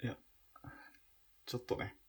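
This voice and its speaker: A man playing an awkward, evasive former soldier in a radio drama, speaking in a hesitant, halting way. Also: darkish tone, lightly acted. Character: awkward, evasive male ex-soldier, reluctant bearer of news. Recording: distant from the mic.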